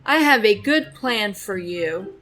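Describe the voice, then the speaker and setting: A woman voicing a kind male farmer in a children's storybook, gentle and reassuring. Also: mid-range voice, animated, slow. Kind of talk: children's storybook read-aloud.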